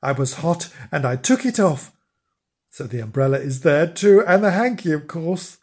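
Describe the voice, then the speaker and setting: Guy doing a fussy forgetful husband, squeaky and all flustered, swoops up high. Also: lively intonation, moderate pace, breathy edge. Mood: desperate. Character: flustered forgetful middle-aged man.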